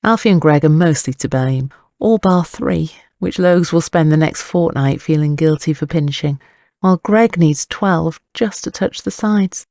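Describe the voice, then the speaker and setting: A male narrator tells a story in a wry, scripted style. His voice is mellow and soft-edged with a gravelly fry, recorded very dry. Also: fairly high pitch, no echo.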